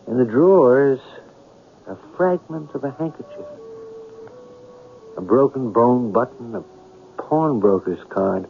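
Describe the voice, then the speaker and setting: An actor playing a brooding storyteller in a 1970s radio drama, speaking in a deliberate, ruminative way with dramatic pauses. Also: male voice, medium pitch, lively intonation, creaky, slight background noise. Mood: brooding, reflective. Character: brooding male storyteller haunted by a mystery. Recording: muffled vintage radio broadcast with music.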